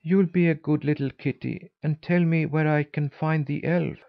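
Man playing a high-voiced, hot-tempered small boy. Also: steady tone, normal speed, vocal fry, dull audio. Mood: pleading. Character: small boy turned elf, pleading yet hot-tempered.

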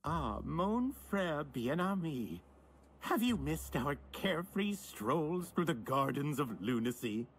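A man's voice: fairly high pitch, big pitch swings, takes it slowly, slight background noise, exaggerated voice. An actor playing a theatrical, deranged serial killer in his sixties, a pompous and grandiose video game villain. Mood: grandiose, menacing.

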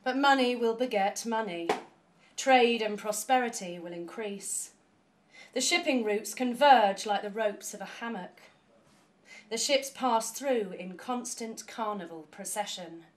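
A young adult woman giving a measured, declamatory reading of poetry as one of two voices, in a small cafe. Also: fairly high pitch. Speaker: poetry reader. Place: small indoor cafe with bookshelves.

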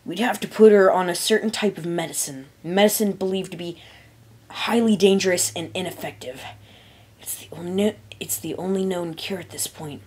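A woman voicing an anxious male pony doctor, speaking quietly and hesitantly. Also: lowish voice, a little fast, slightly rough.

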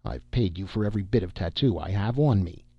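A defiant, aggrieved circus man played by a male reader argues his case stubbornly. The voice is low and husky, in a storybook recording. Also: lively intonation, fairly quick, exaggerated voice. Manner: defiant, argumentative. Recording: dull, roomy amateur audiobook recording.

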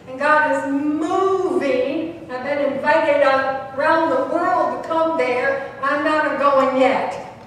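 High-voiced woman preaching in an echoey church. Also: a little hiss or hum. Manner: chanting, shouting "more, Lord" in bursts. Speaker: pastor and prophet. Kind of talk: Pentecostal sermon. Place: small church sanctuary. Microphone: handheld mic, far camera. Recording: echoey hall recorded from far off.

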